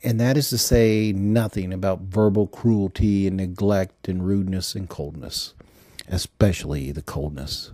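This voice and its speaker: A man's voice: sits low, measured pace, dark tone, no echo, clean studio sound, pitched below the performer's own voice. A man narrating an audiobook as a self-absorbed male government agent, giving a rambling, self-justifying confessional monologue. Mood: brooding, self-justifying.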